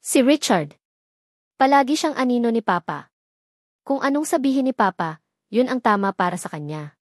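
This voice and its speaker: A woman narrator plays a sincere young Filipino heir in a romance audio story. He speaks hesitantly and uneasily, in a fairly high voice with a dry recording. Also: lively intonation, normal speed, no room sound. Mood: resigned. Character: earnest, uneasy young rich man in love.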